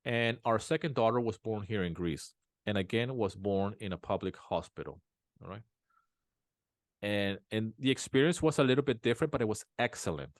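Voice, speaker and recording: Low-voiced man, halting and talkative. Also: expressive, dry recording, studio quality. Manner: halting, many restarts and fillers. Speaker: interview guest. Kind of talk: casual conversational interview. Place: home in a small town.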